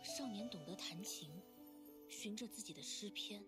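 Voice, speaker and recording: A youthful, androgynous bard spirit from a video game , voiced by a woman, recites in lyrical, measured phrases. The voice is wistful and poetic, sitting in a middle register for a woman, with loud music behind it. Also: medium pitch, lightly acted. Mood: solemn, poetic.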